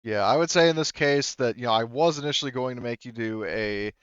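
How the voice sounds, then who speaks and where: A man talking casually as game master, reasoning through the rules out loud. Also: mid-range voice, a little fast, clear, resonant tone, no room sound, muffled recording.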